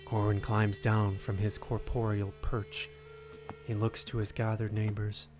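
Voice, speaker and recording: A man delivering scripted dramatic narration for an audio drama in a grave, deliberate way with suspenseful phrasing. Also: fairly low pitch, flat delivery. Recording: narration over prominent music.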